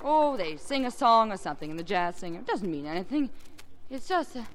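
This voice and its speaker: An American actress playing a weary, devoted movie star wife, loving and wistful, in a 1950s radio drama. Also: female voice, mid-range voice, big pitch swings, a little fast, close to an everyday voice. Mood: frustrated, wistful. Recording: vintage radio broadcast, faint music.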